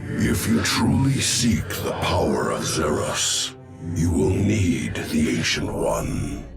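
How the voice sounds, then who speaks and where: An actor playing an ancient, solemn alien prophet in a video game, weary and mysterious, speaking gravely and cryptically in a gravelly, breathy voice. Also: male voice, sits low, very animated, slow pace, exaggerated voice. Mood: grave resignation. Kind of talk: video game cinematic acting. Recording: loud music behind the voice.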